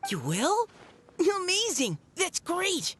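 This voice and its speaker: A woman playing an earnest, excitable young girl adventurer in an anime game, kindhearted and sincere. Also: very high voice, slow, slight background noise, exaggerated voice. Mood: delighted. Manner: earnest, animated.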